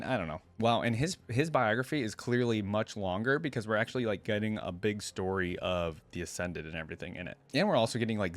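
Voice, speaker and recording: A man's voice: on the low side, no echo. A man hosting a reaction video, reading lore aloud and adding casual, musing commentary. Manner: informal, musing asides.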